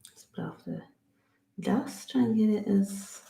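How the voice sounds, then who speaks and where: A woman's voice: medium pitch, unhurried, creaky, warm tone, reverberant. A woman in her thirties with a Bristolian accent, chatting through a friendly step-by-step drawing lesson at her home desk, thinking aloud and poking fun at herself as she works. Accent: Bristolian English. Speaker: art teacher. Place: home studio desk, indoors.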